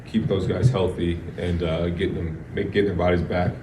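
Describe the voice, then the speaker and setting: A man's voice: low voice, little pitch movement, fairly quick. A man, a football coach, answering press questions in a casual, rambling way, with many restarts and fillers. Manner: casual, rambling, full of "you know" and restarts. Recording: distant, echoey, noisy press room.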